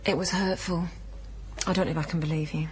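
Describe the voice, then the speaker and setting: Radio play: actress as hushed, wounded woman, lowish voice, emotional natural delivery, faint ambience behind. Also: steady tone, fast, slight background noise, close to an everyday voice. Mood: hurt. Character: wounded, emotional woman haunted by her ancestor's suffering. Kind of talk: naturalistic radio drama dialogue.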